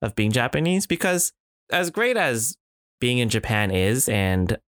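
A man's voice: medium pitch, sing-song, a little slow, fairly bright tone, no room sound, clean studio sound. A man in casual podcast chat, reflective, with many fillers and restarts. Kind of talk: casual conversation.

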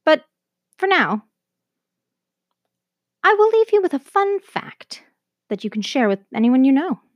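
High, lively Canadian woman. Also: a little slow, no echo, studio quality. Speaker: host of an educational language podcast. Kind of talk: scripted educational narration.

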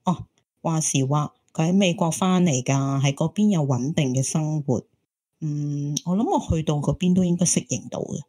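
A woman's voice: deep voice, moderate pace, mellow. An actress playing a hopeful, romantic single woman in her thirties in an audio drama, teasing and exclaiming. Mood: hopeful, defensive. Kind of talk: Cantonese audio drama acting.

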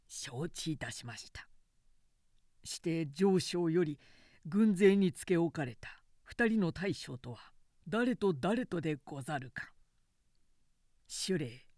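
A woman's voice: deep voice, expressive, slow pace, long pauses, a little mellow, no echo, clean studio sound, full character voice. A woman voicing dignified, courteous male warlords with a formal martial manner in a historical audiobook. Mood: grave, formal.

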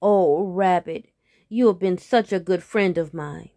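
A woman's voice: lowish voice, dark tone, dry recording, clean studio sound. A woman voicing a hungry, pleading, easily panicked male tiger in a storybook tale, drawing out the words in overdramatic pleas. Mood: wheedling, self-pitying. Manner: drawn-out, wheedling, overdramatic pleading. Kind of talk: animated storybook character voice.

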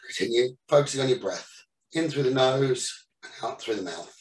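A man leading a guided meditation as the teacher, giving soothing instructions in short phrases with pauses between them. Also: medium pitch, lively intonation. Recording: roomy echo, distant from the mic.

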